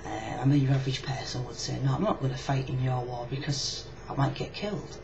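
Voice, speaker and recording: A woman's voice: very deep voice, steady tone, brisk. A woman with a Yorkshire accent talking casually, frankly and reflectively in a personal video reply. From Yorkshire, England. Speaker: video blogger. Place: indoors in a room. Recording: muffled lo-fi webcam audio, clear background noise.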